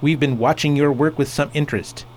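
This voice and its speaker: An actor playing a genial, slightly patronising school superintendent in a radio play. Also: male voice, fairly high pitch, steady tone, fairly quick, a little mellow, natural voice. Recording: faint background noise.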